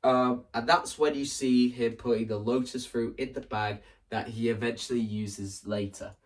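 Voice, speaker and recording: A man's voice: mid-range voice, steady tone, normal speed, distant mic. A man giving a rambling, explanatory vlog-style talk, acting out scenes as he describes a stage production. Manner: rambling, acts out scenes and quotes lines as they go. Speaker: content creator. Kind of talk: animated explanatory vlog about staging a musical.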